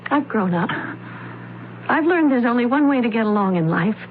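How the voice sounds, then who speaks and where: Bitter, menacing young stepsister, played by a woman for radio drama; high voice, noisy old broadcast sound. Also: lively intonation, normal speed, narrow, tinny audio, close to an everyday voice. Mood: bitter, hardened. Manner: intense, menacing.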